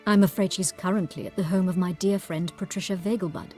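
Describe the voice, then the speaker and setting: A woman's voice: fairly low pitch, fairly even intonation, quick pace, mellow, faint music behind, natural voice. A woman playing a refined, coolly gracious noblewoman in a video game, speaking formally and elegantly. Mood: gracious, poised.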